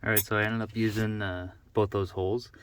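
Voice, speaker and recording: A man's voice: lowish voice, level tone, brisk, slight background noise. A man hosting a casual how-to vlog, talking informally and thinking aloud as he explains. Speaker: vlog host showing a DIY truck install.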